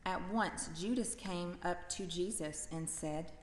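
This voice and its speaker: A woman narrating a Gospel reading in a measured, plain manner. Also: lowish voice. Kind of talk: scripted scripture narration. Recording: echoey church with faint noise.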